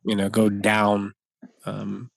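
A man's voice: lowish voice, completely flat delivery, takes it slowly, gravelly, no room sound. A man in his thirties, a wedding photographer, chatting as a podcast guest, rambling with many fillers and restarts and laughing easily. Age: 30s. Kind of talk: casual podcast conversation.

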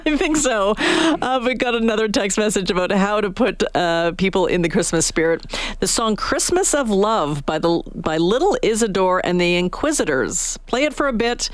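A woman's voice: mid-range voice, expressive, normal speed, no echo. Casting: Canadian woman radio host, casual and chatty, close dry studio mic. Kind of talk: casual on-air chat. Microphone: close studio radio microphone.

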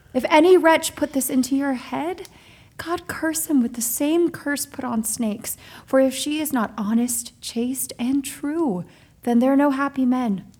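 Earnest, high-voiced loyal maidservant. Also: female voice, a little mellow. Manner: earnest, deferential. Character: loyal, earnest lady's attendant, deferential but bold. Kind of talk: theatrical modern-verse Shakespeare audio drama.

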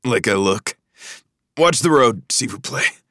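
A man's voice: mid-range voice, animated, a little slow, a bit bright, no room sound, studio quality, voice pushed up. A man playing a wounded, stoic young American man with a terse, strained manner in an audiobook. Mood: wry, pained. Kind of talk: audiobook character voice.

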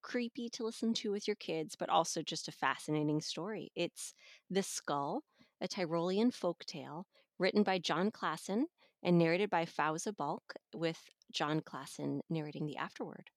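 A woman's voice: on the low side, normal speed, dry recording, clean studio sound. A middle-aged woman talking casually as an audiobook reviewer.

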